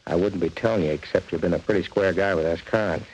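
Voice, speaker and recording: A male actor as a seasoned convict informer in a 1940s radio drama. His voice is deep, his talk slangy and guarded, on a vintage recording. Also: fast talker, darkish tone, a little hiss or hum, natural voice, poor audio. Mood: wary, warning. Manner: slangy tough-guy talk. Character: wary veteran male convict, underworld informer.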